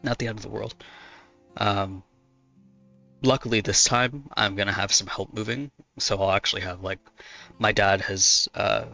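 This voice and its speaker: A man chatting casually while he draws on a stream, his voice hoarse from talking a lot. He rambles, corrects himself and laughs often. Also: lowish voice, steady tone, moderate pace, long pauses, bright, forceful tone, soft background music. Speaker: artist streaming while drawing. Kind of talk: casual chat answering viewers.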